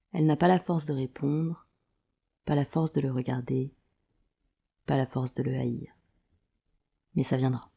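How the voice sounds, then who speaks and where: A woman narrating a scripted audio drama in a deliberate, wry storytelling manner. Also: rumbling low, gravelly, dark tone, husky.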